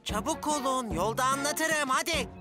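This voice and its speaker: A woman's voice: sits high, normal speed, loud music behind the voice, natural voice. A woman voicing an eager, bossy schemer of a boy in a children's cartoon dub. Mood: bossy, excited.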